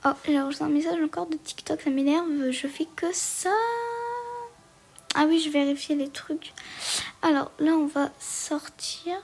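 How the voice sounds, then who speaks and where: A woman's voice: high-pitched, level tone, a little slow, a little mellow. A woman giving casual, hesitant commentary as she walks through a game, with lots of fillers. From Portugal. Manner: hesitant, many fillers, chatty. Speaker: gaming video creator.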